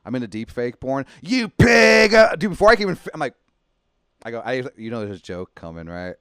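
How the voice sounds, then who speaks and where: A young man with a slight speech impediment, talking quickly and joking loosely as a podcast host. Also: medium pitch, very animated, fairly bright tone, clear, resonant tone, dry recording, clean studio sound. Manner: fast-talking, jokey, swears freely. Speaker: podcast host and stand-up comedian. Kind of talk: casual podcast chat.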